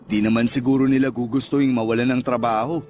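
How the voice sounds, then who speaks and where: An actor playing a smooth-talking, indulgent restaurant owner courting his lover in a radio drama, casual and coaxing. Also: medium pitch, flat delivery, fast talker, a little mellow, natural voice. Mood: flirtatious, playful. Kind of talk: Tagalog radio drama dialogue. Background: background music.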